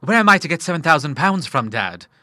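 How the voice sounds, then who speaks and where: A man's voice: fairly high pitch, sing-song, fast talker, pushed and bright, no echo, clean studio sound, voice pushed up, heavily acted. A man voicing an earnest young businessman in money trouble who speaks formally and with feeling.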